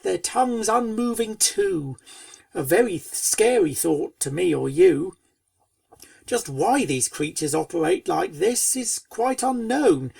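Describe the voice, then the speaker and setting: A woman's voice: mid-range voice, gravelly, darkish tone, no room sound, distant mic, heavily acted. A woman playing a pony storyteller who tells a spooky storybook tale in verse to young foals, with dramatic, schoolteacherly delivery. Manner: dramatic, schoolteacherly, chiding asides. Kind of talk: theatrical storybook narration in verse.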